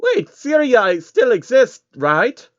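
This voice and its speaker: A man's voice: very high-pitched, huge pitch swings, slow pace, a bit bright, no echo, dull audio, voice pushed up, full character voice. Guy voicing a blunt suit guy explaining stuff, slightly raised voice. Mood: matter-of-fact.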